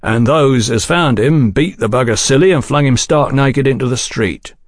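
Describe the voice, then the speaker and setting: Man playing raised-voice insolent Victorian rough. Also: mid-range voice, normal speed, no echo, clean studio sound, voice pushed up, close to an everyday voice. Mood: righteous, menacing. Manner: insolent, slangy. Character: tall insolent calculating Victorian rough in fancy clothes.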